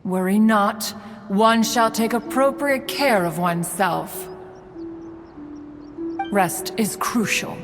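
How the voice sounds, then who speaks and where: Stately old mountain spirit, voiced by a woman: archaic, formal, slow, with a long hall reverb and music. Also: medium pitch, close to an everyday voice. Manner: archaic formal speech, refers to self as one. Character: ancient reclusive crane spirit inventor, stately, formal. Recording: game audio with reverb and music.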